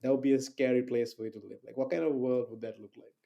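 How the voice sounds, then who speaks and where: A young man hosts a relaxed interview, speaking fast and stumbling through restarts and fillers. His voice is mellow and dark in tone. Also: medium pitch, gravelly, highs cut off. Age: young adult. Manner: hesitant, filler-heavy, many restarts. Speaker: podcast host. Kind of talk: casual interview chat.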